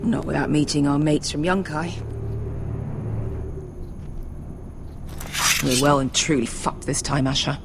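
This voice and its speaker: A woman's voice: low voice, fairly even intonation, fairly quick, noisy background. A woman playing a tough, foul-mouthed female sellsword in a video game drama, blunt and sardonic. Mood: grim sarcasm.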